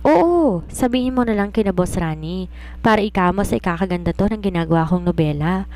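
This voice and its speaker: A woman storyteller voicing a breezy, secretive young female novelist who poses as a maid, with a casual, brisk, evasive manner. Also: mid-range voice, expressive, a little mellow, close to an everyday voice.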